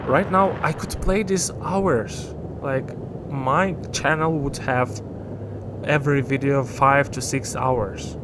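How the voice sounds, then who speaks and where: A man's voice: mid-range voice, steady tone, slow, noisy background. A man whose first language is Ukrainian, rambling through casual gameplay commentary as a gaming YouTuber, often correcting himself.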